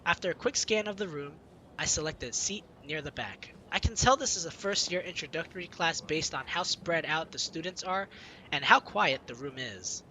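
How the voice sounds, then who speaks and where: A man's voice: fairly high pitch, lively intonation, moderate pace, sharp tone, slight background noise, rough recording. A man hosting a gaming video, reading visual novel narration aloud informally, with casual asides and self-corrections. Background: game music.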